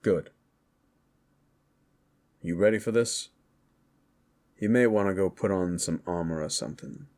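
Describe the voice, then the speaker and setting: A man's voice: low voice, measured pace, long pauses, darkish tone. A man voicing an easygoing, wry adventurer with a casual, chatty manner in a fantasy audio roleplay. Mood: practical.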